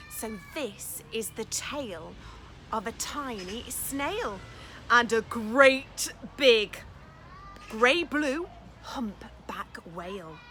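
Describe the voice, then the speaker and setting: Animated, bright-voiced woman telling a children's story. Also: fairly high pitch, a little hiss or hum. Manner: expressive, encouraging, dramatic emphasis with hushed asides. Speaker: early years teacher reading a storybook. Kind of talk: animated children's storytime reading, chatty questions to listeners.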